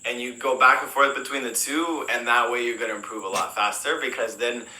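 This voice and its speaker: Bright-voiced American man, rambling casually. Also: mid-range voice, brisk, echoey room, a little hiss or hum, low-quality recording. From United States. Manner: relaxed, rambling, frequent fillers and restarts. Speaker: English teacher. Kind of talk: casual interview answers.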